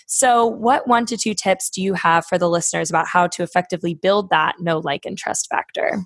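A woman's voice: fairly low pitch, fairly quick, no echo. A woman hosting a podcast, affirming her guest's answers and asking follow-up questions. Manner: affirming, encouraging. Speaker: podcast host.

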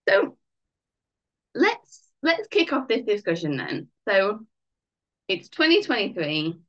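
A woman hosts a podcast interview in a chatty, upbeat style, often dropping in casual fillers. Her voice sits in an ordinary middle pitch. The audio sounds muffled and a bit lo-fi. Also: huge pitch swings, takes it slowly, long pauses. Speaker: podcast host, life coach.

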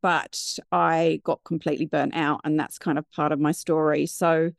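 Lower, gravelly British woman in her fifties. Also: normal speed, no room sound, studio quality. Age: 50s. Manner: chatty, animated, digressive. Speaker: guest, mindfulness business founder. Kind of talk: conversational interview storytelling.

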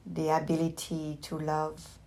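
A woman teacher leading a guided meditation with gentle, unhurried instructions and long pauses. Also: sits low, completely flat delivery, rough recording.

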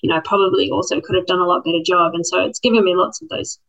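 A woman from New Zealand with a New Zealand accent, giving thoughtful, measured answers as a program participant. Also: lowish voice, vocal fry, a bit bright. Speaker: program participant, former lawyer. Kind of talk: reflective interview answers. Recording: muffled lo-fi audio.

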